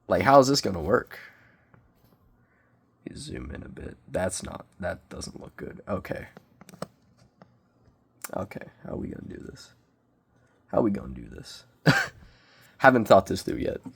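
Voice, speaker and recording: A young man with a cold, sniffling as he reviews a collectible figure in casual, self-deprecating, excitable rambling. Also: low voice, a little slow, vocal fry, long pauses, breathy edge. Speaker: toy reviewer. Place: small bedroom.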